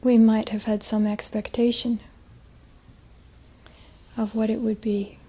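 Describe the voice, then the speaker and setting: A woman leading a guided meditation as a teacher, speaking gently in a soft, breathy, hushed voice with long pauses. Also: fairly high pitch, flat delivery.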